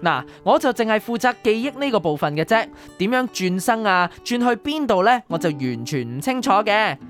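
A woman's voice: mid-range voice, big pitch swings, normal speed, soft background music, close to an everyday voice. A woman playing a composed, enigmatic keeper of memories in the afterlife, in a radio drama. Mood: composed, explaining. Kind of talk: Cantonese radio drama acting.

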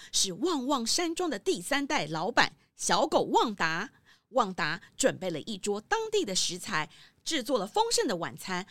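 A woman narrator reading a scripted children's story in an expressive storytelling manner. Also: on the high side.

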